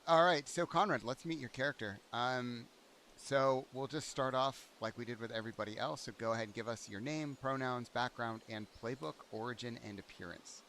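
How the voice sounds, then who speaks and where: A man's voice: mid-range voice, moderate pace, a bit bright, slight background noise. A man running a tabletop game session as game master, talking casually.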